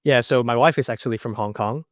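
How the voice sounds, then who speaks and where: A man in his twenties from Macau, a podcast guest telling his story in a relaxed way with lots of fillers. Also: mid-range voice, quick pace, no room sound, studio quality. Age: 20s. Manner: relaxed, many fillers like you know. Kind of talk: casual interview.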